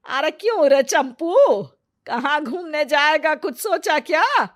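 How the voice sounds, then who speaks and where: An elderly cartoon grandmother, acted by a woman, speaks in a high voice at a slow pace. She scolds and teases in a bossy but affectionate way, like the elder of a family moral story. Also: lively intonation, a bit bright. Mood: teasing, bossy. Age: older. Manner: scolding, teasing.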